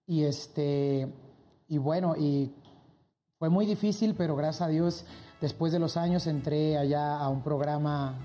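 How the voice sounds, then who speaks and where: An upbeat Mexican man with a Mexican accent, telling his story as a guest in a casual chat. His voice is warm and mellow, and he often repeats his phrases for emphasis. Faint music plays softly behind him. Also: on the high side, measured pace. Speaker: guest singer.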